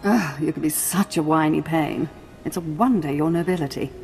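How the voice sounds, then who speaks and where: A woman's voice: deep voice, moderate pace, a little mellow, long reverb tail, soft background music. A woman voicing a cruel, condescending noblewoman who drawls her words. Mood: scheming. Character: scheming, haughty female noble, cruel and condescending.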